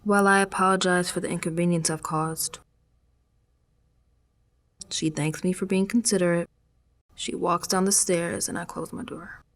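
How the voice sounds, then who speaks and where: An actress playing a troubled, defiant young American woman who is unravelling, confiding her story in a dramatic radio monologue that swings from hushed to emphatic. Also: fairly low pitch, fairly even intonation, normal speed, long pauses, close to an everyday voice. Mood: bewildered, distraught. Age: young adult.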